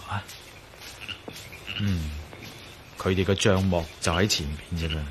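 A man acting a resolute, wary yet reassuring adventurer in a radio drama, with a Hong Kong Cantonese accent, speaking in colloquial, expressive monologue. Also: deep voice, measured pace, a little hiss or hum. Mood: determined, musing. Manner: colloquial, self-talking, expressive.

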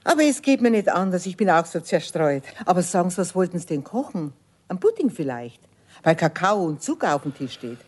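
A low, husky-voiced cleaning woman with a Bavarian accent. She is chatty and fussy, with lively swings of pitch, in a children's radio play. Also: brisk. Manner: chatty, fussing. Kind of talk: 1970s German children's radio play acting.

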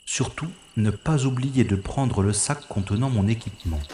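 A man playing a space secret agent on a covert mission, deliberately narrating his actions to himself in an audio drama monologue. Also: on the low side, mellow, lightly acted. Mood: focused, wary. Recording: roomy reverberant sound with faint noise.

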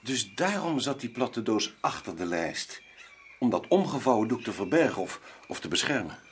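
Radio drama actor for a generous, formal gentleman: mid-pitched, expressive melody, faint background noise. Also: moderate pace, natural voice. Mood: puzzled. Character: courteous, wealthy gentleman host, generous and curious.

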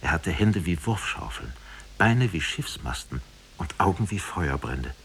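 A man's voice: sits low, sing-song, a little slow, vocal fry, a bit bright, a little hiss or hum. A man narrating a fairy tale in a solemn, dramatic 1950s radio storytelling style.

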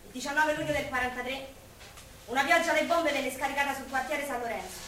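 A high, bright Roman woman of the people in the 1940s, passionate and theatrical. The voice carries from a distance in a very echoey theatre, with faint noise. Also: quick pace. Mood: sorrowful, narrating. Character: working-class Roman woman of the wartime forties, passionate. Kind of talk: Italian stage drama acting.

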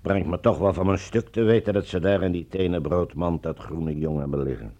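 An actor playing an earnest, unsettled army captain in a radio play, husky and easily awed. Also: male voice, deep voice, brisk, dark tone, muffled recording. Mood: uneasy. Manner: earnest, dramatic.

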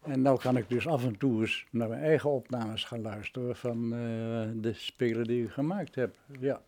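Very old man with a husky voice. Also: mid-range voice, steady tone, mellow. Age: nineties, almost a hundred. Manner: reflective, halting, emphatic agreement. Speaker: interview guest, retired radio drama director. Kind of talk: reminiscing interview conversation.

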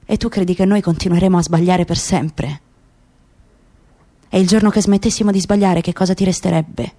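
A woman's voice: fairly low pitch, fairly even intonation, moderate pace, mellow. Woman voicing solemn, proud, disillusioned father. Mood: challenging.

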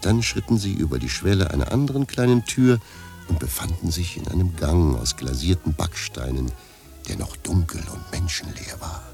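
A man reading scripted narration for a radio play in a measured, literary manner. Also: deep voice, animated, gravelly, darkish tone. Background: faint music.

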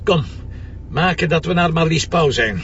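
An actor playing an impatient, sardonic police commissioner with a Flemish accent, brusque and pressing in a TV detective drama. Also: male voice, on the high side, normal speed, a bit bright. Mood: exasperated, urgent. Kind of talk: naturalistic TV detective drama dialogue. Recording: muffled distant sound with background noise.

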